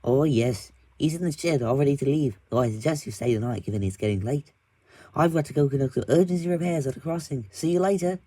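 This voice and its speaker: A man voicing a small, chatty young male diesel engine who talks quickly and eagerly. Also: mid-range voice, expressive, mellow, no echo, voice pushed up, lightly acted. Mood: eager chatter.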